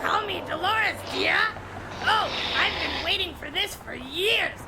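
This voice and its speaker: Nosy, doting older mother, audio drama, very high voice, big lively swings, theatrical sweetness. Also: a little slow, noisy background, full character voice. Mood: gushing. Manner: gushing, theatrical endearments. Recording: loud music under the voice.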